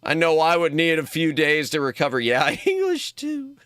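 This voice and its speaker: A man riffs on a game for his live chat, joking and animated. His pitch leaps around and his tone is bright and forward. The sound is dry and close. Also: normal speed, clean tone, no room sound. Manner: jokey, animated, talks to chat. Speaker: streamer.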